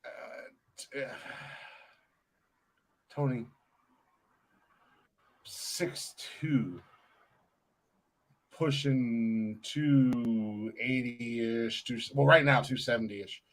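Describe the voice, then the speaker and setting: A man's voice: medium pitch, extremely slow, long pauses, rough recording. A man hosting a comedy podcast, bantering casually, laughing often and rambling with fillers. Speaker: podcast host.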